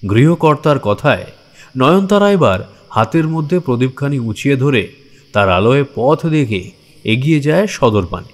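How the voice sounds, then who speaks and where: Casting: male narrator for horror audio stories, medium pitch, dramatic and suspenseful delivery. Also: expressive, moderate pace. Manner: theatrical, suspenseful.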